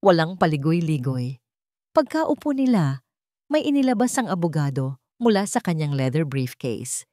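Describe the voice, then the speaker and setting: A woman with a low, mellow voice tells a dramatic story in a steady, deliberate way. She is recorded close to the mic, dry, with no room sound. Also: no room sound. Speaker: narrator. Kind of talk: scripted story narration.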